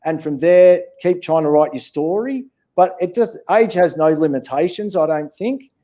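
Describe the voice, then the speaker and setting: A man's voice: a little high, animated, normal speed, dark tone. A man with an Australian accent answering questions in a casual, encouraging, plain-spoken way as a children's author. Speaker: children's author, interview guest.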